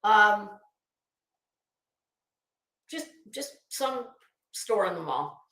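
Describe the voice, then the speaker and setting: Lady ranting on her stream, slow talker, sharp voice, blunt n sweary, kinda echoey. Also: medium pitch, very animated. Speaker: livestream host. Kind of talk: casual livestream commentary.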